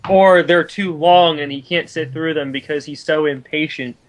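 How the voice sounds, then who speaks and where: Male guest commentator, somewhat high voice, casual and halting, with a critical bent. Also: fairly even intonation, slight background noise.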